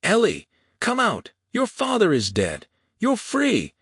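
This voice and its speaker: A man's voice: high-pitched, wildly swooping pitch, slow, fairly bright tone, husky, no room sound, clean studio sound, pitched above the performer's own voice, heavily acted. A man voicing a sneering, pompous, cowardly shopkeeper in an Old West audiobook, indignant and flustered. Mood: shrill, desperate.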